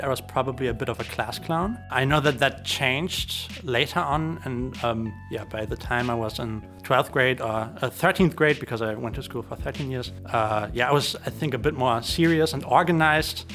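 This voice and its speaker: A man's voice: mid-range voice, a bit bright, soft background music. Male teacher, German first language, thoughtful and careful answers. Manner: measured, hesitant with many fillers. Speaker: teacher being interviewed.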